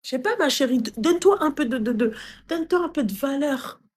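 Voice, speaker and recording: A woman's voice: high voice, animated, fairly quick, darkish tone. A woman hosting a live call-in stream, giving affectionate, reassuring advice to callers. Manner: affectionate, reassuring, calls callers "my little heart". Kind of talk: casual live call-in chat.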